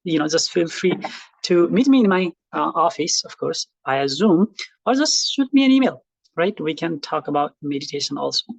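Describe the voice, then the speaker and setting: A man's voice: a little high, animated, normal speed, gravelly, no room sound, dull audio. A middle-aged man from Nepal with a Nepali accent, speaking casually and reflectively to his online class, with many fillers and restarts. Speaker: college instructor. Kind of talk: casual lecture to online students.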